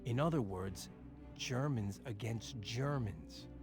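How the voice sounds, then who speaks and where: An actor playing an impatient army general in a war video game, blunt, clipped and demanding. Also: male voice, mid-range voice, takes it slowly, over music, natural voice. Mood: impatient, skeptical. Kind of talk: video game cutscene acting.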